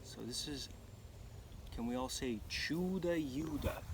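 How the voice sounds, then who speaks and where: A man telling a folktale live to children in an expressive, dramatic manner, pausing to ask his listeners questions. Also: mid-range voice. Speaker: storyteller. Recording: noisy live recording, clear background noise.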